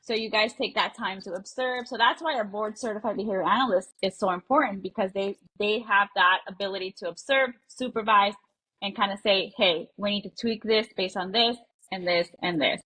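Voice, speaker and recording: A woman with a bright, forward voice at a middle pitch co-hosts a podcast interview. She talks conversationally, rephrasing points and explaining them for listeners. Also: fairly quick, breathy edge, poor audio. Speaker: podcast co-host.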